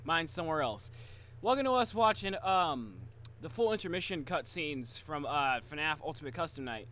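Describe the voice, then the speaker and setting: A man's voice: fairly high pitch, expressive, moderate pace, a bit bright, a little hiss or hum. A man hosting a casual livestream reaction, talking informally and often interrupting himself. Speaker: reaction video host, streamer.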